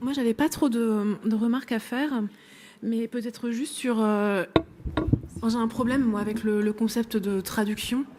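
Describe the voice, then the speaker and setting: A woman's voice: a little high, fairly even intonation, a little slow, a little mellow, slight background noise. Hesitant academic woman. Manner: hesitant, many fillers, builds long argued sentences. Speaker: workshop participant. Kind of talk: academic discussion remark.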